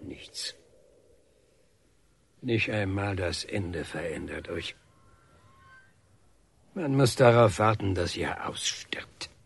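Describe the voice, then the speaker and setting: An actor playing a stubborn, quietly defiant painter, calm and dry, in a radio drama. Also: male voice, sits low, takes it slowly, gravelly, pauses often, breathy edge, heavily acted. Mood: bitter, resolute.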